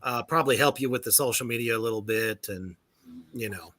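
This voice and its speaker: A man's voice: medium pitch, a little fast, fairly bright tone. A man hosting a casual livestream chat with a band, loose and profane, laughing often. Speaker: podcast host.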